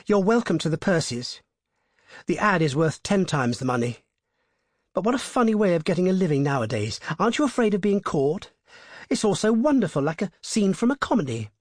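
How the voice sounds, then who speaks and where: A man voicing a bold, sharp-tongued young actress in an audiobook, speaking briskly and cheekily. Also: fairly high pitch, lively intonation, slightly rough, dry recording, clean studio sound, pitched above the performer's own voice. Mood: delighted. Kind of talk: audiobook character voice.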